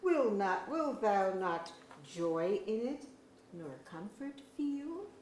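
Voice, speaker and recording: A woman playing a friendly, devoted elf ambassador in an archaic verse drama, expressive in delivery. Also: mid-range voice, takes it slowly. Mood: earnest appeal. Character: friendly, doting elf ambassador befriending a captive. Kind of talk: staged reading of pseudo-Elizabethan verse drama. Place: museum auditorium, live reading. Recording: echoey auditorium with background hiss.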